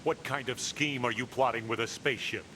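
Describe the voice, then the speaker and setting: A man's voice: mid-range voice, fairly even intonation, fairly quick, background noise, lightly acted. A man playing a hot-tempered reformed warlord in an English anime dub, intense, dramatic and commanding. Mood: angry, defiant. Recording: music behind the voice.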